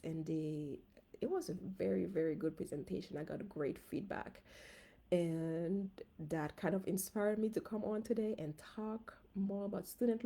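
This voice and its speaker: A woman from Haiti with a Haitian accent, a dentist giving an animated, casual livestream talk to her viewers on a phone. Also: medium pitch, creaky. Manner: animated, talks straight to viewers. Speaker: dentist and finance coach.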